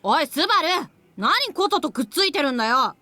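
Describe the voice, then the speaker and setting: A sharp, lively boy's voice in anime game style. He is brash and boisterous, talking quickly in rough bursts. The sound is close and dry. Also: high-pitched, dry recording, exaggerated voice. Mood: indignant, grumbling. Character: brash, rowdy young schoolboy. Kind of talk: anime visual novel acting.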